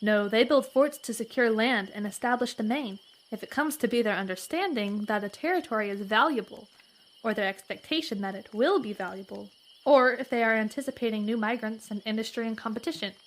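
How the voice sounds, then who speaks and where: A woman playing a learned, discreet frontier lady in a western audio comedy, speaking in formal, precise and measured words. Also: a little high, natural voice. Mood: probing, formal.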